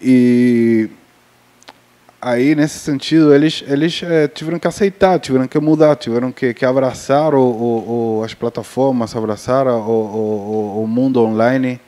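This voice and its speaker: Man, Cuban accent, Spanish native speaker; mellow, halting panel talk with many restarts. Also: mid-range voice. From Cuba. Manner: hesitant, many fillers and restarts. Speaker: festival panelist on film distribution.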